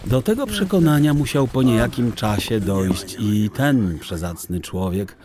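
Lively, expressive male storyteller. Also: fairly low pitch, a little slow. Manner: expressive, literary. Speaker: narrator. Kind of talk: scripted audiobook narration, storytelling for children.

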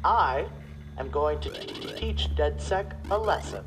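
A woman's voice: low-pitched, lively intonation, measured pace, music in the background. A woman playing a mocking, unhinged hacker in a video game, sneering and giggling through theatrical stammers. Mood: manic, menacing. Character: mocking, unhinged female hacker broadcasting threats. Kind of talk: video game cutscene acting.

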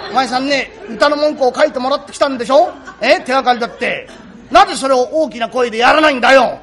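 A man's voice: very high voice, fast, a bit bright, some chatter behind. A man voicing a bossy, nagging wife in comic Japanese storytelling, scolding impatiently in earthy colloquial speech. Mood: scolding, exasperated. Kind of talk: rakugo comic storytelling dialogue.

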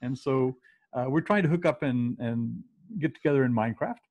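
A man's voice: medium pitch, normal speed, a little mellow. An older man hosting a video call, rambling through introductions with many fillers. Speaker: host of a reunion call. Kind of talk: casual video call chat.

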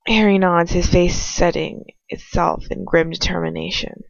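Gravelly, casual amateur story reader. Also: medium pitch, fairly even intonation, normal speed, dry recording, dull audio. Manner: casual, breaks off to comment and stumble over words. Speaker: amateur fan fiction reader. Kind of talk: reading a story aloud, informal asides.

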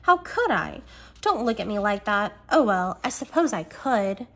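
A woman's voice: on the high side, big pitch swings, moderate pace, sharp tone, pitched above the performer's own voice, exaggerated voice. Woman reading as earnest, pleading young girl. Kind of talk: storybook reading.

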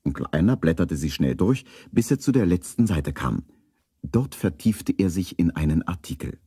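A man narrating a children's story in a calm, scripted 1970s radio play style. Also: low-pitched, moderate pace, slightly rough, dry recording, clean studio sound.

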